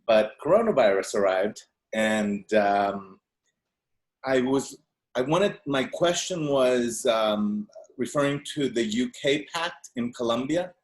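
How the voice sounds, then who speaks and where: A man's voice: medium pitch, expressive. A man in his fifties from Venezuela, a native Spanish speaker, asking a long, rambling question on a video call. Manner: rambling, halting with many fillers and restarts. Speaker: audience member asking a question.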